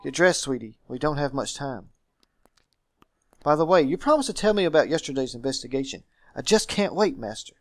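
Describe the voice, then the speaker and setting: A man's voice: mid-range voice, animated, a little fast, dry recording, natural voice. Clingy female demon servant for a game, read by a man, coaxing and chiding, dry close sound.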